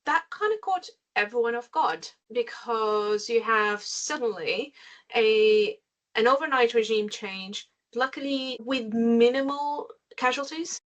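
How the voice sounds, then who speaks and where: A woman from Syria, a guest speaker giving a measured briefing over a video call, with frequent pauses. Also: on the high side, fairly bright tone. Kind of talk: webinar briefing.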